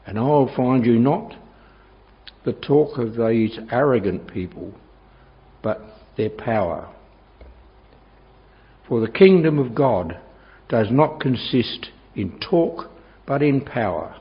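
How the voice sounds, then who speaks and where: A man reading scripture aloud in a measured, solemn way. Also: mid-range voice, expressive. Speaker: scripture reader. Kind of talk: Bible reading. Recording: dull lo-fi church recording.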